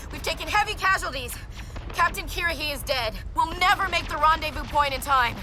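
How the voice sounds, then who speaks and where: A woman's voice: high-pitched, fairly quick, sharp tone, soft background music. A woman playing an embattled, determined soldier in a video game, giving urgent military reports over radio. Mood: strained, resolute. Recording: noisy battlefield radio.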